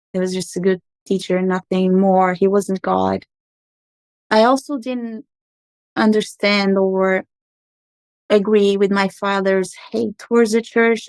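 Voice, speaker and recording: A woman in her thirties, from Portugal and raised abroad, earnestly recounting her life story as a podcast guest with frequent pauses. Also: mid-range voice, darkish tone, clean tone, no room sound. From Portugal, raised in Angola and Macau. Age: 30s. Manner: reflective, earnest, with frequent ums. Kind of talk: personal storytelling interview.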